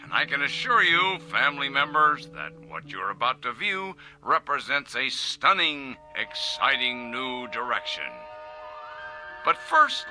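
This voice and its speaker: Radio drama role: smug corporate chief, very forceful and bright tone, higher-pitched, showman's pomp, sells automation to shareholders. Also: full character voice, rough recording. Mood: grandly proud. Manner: pompous, showy salesman's delivery with grand pauses. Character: pompous, self-satisfied male factory boss, zealous for automation. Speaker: company head addressing shareholders. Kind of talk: radio drama acting as a corporate video presentation.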